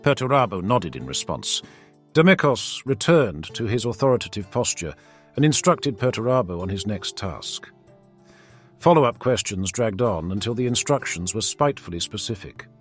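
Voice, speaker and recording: A man with a low voice narrates fantasy lore, his delivery animated with wide swings in pitch. Faint music runs underneath his dramatic, expressive reading. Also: normal speed. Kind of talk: scripted fantasy story narration.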